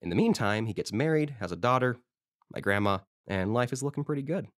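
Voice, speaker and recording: A man's voice: mid-range voice, lively intonation, fairly quick, no room sound, studio quality, lightly acted. A man playing an eager small-town historical society president in an audio drama, telling stories in an informal, rambling way. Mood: eager storytelling. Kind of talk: conversational audio drama monologue.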